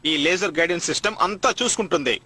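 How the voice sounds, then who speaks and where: Fast, high-pitched pompous cartoon dad. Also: male voice, expressive, background noise. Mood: coaching. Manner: pompous, boastful, pedantic. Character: boastful gadget-loving cartoon dad, controlling techno geek. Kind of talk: dubbed cartoon acting.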